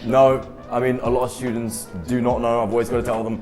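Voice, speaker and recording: A man's voice: medium pitch, little pitch movement, fairly quick, darkish tone, soft background music. A young man in his twenties, a students' union officer who grew up in the UK, answering interview questions in an upbeat, chatty way with lots of "I mean" and "um". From United Kingdom. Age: 20s.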